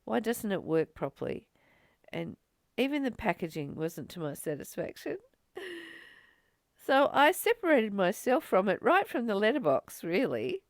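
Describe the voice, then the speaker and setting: A woman giving an informal spiritual talk as a teacher, earnest, phrasing things with deliberate, emphatic pauses. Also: medium pitch, very animated, no room sound, studio quality. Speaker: spiritual teacher leading a daily course study.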